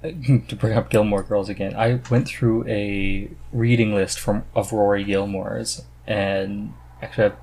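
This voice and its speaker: A man with a slightly husky, unpolished voice talks casually as a podcast host. His delivery is informal and halting, as if working out each thought while he speaks. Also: on the low side, steady tone, darkish tone, rough recording. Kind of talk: casual conversational chat about hobbies.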